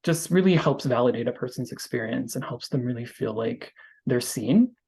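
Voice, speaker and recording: Male interview guest, astrologer, husky mid-pitched voice, earnest and explanatory, conversational delivery. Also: animated, normal speed, dark tone.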